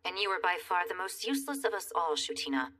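A woman playing a cool, blunt general in an animated dub, formal and dryly needling. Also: lowish voice, quick pace, recorded from a distance.

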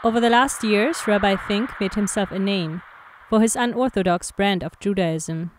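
A woman narrating a documentary voice-over, measured and inquisitive. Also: mid-range voice, slight background noise. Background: music.

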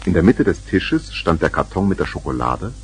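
An actor playing the narrator of a children's radio play, telling the story from a script. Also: male voice, low-pitched, sing-song, normal speed, husky, exaggerated voice. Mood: storytelling. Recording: 1970s vinyl record with music.

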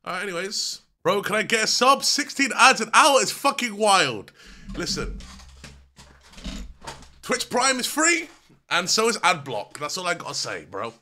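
A man in his twenties chatting casually and excitably to livestream viewers in slangy speech, close on a desk mic. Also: high voice, measured pace. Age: 20s. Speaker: livestream host. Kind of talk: casual reaction chat to stream viewers. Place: small decorated room at home. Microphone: close desk microphone on a boom arm.

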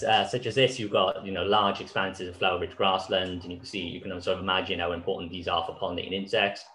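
A man in his thirties speaks over a video call, his voice very low and a little hoarse. He talks in a loose, relaxed way with many fillers, as if presenting slides. The room around him sounds echoey. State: slightly hoarse. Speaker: conservation speaker. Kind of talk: online conference talk with slides. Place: small room at home.

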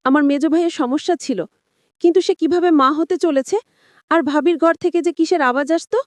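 A woman's voice: high-pitched, a little fast, dry recording, voice pushed up, lightly acted. A woman playing a devoted but suspicious village wife in an audio story, with colloquial, questioning rural Bengali speech. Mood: doubtful.

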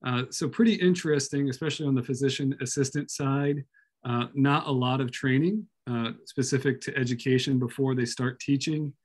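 Male grad student, hushed at work, hesitant, fillers, video call. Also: medium pitch, fairly quick, slightly rough. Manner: hesitant, many "uh" fillers, speaking softly. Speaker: doctoral student. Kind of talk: online class self-introduction.

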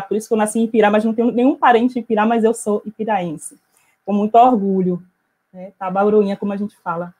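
A middle-aged woman from Bahia, giving an academic talk over a video call on an earphone headset, measured and full of hesitations. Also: mid-range voice, warm tone. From Bahia, Brazil. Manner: measured, many hesitation fillers. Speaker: invited speaker, author. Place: small room at home, indoors.

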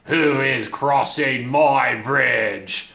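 Man putting on a slow, menacing bridge troll. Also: sharp tone, roomy sound, heavily acted. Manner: slow, drawn-out, booming delivery. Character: big stinky scary troll guarding a bridge, menacing.

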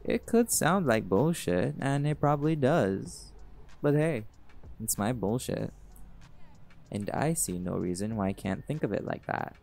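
Guy doing a chill flirty jaguar shifter dude, kinda low and gravelly, easygoing, soft music under it. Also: unhurried. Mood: laid-back. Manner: easygoing, teasing, relaxed drawl with laughs. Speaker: voice actor in audio roleplay. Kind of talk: audio roleplay, speaking to listener.

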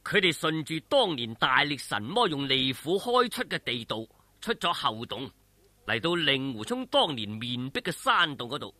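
Hong kong cantonese guy telling a dramatic story, highish punchy voice, really sharp, suspenseful. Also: normal speed, no echo. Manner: animated, suspenseful.

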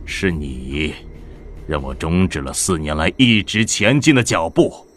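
An actor playing a huge armored evolved monster, a male villain who speaks in a measured, cold and deliberate way. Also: sits low, very animated, a little hiss or hum.

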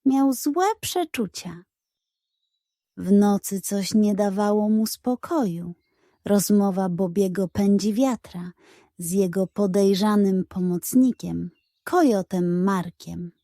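A woman reads a children's bedtime story expressively. Her pace is slow and her tone is mellow and dark. The sound is dry, with very little room. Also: mid-range voice, no room sound. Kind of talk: scripted story narration, expressive read-aloud.

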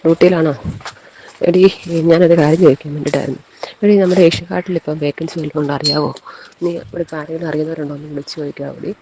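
Woman w central kerala accent chatting on phone, creaky and flat, bit noisy recording. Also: sits low, racing. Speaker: caller talking to a friend. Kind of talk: casual phone conversation.

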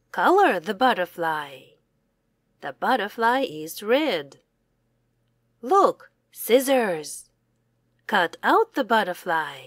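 Very slow, lively woman teaching children. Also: a little high, dry recording, studio quality. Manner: deliberate, separated words with exclaimed prompts. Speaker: English teacher. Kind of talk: slow read-aloud language lesson for children.

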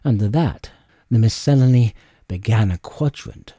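A man narrating a classic adventure audiobook in an expressive, suspenseful storytelling manner. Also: fairly low pitch, measured pace, breathy edge, dry recording, clean studio sound. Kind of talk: dramatic scripted storybook narration.

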